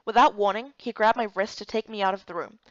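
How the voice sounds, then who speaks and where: A woman's voice: medium pitch, fast, no room sound, highs cut off. A woman reading scripted first-person story narration for a game playthrough.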